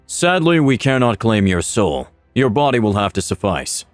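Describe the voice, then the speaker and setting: An unhinged male necromancer acted out by a man from a fantasy story, gleeful and flippant as he menaces. His delivery is theatrical and toying, swinging widely in pitch. The recording is dry, with music clearly underneath. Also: very animated, moderate pace, no room sound. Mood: mock regret.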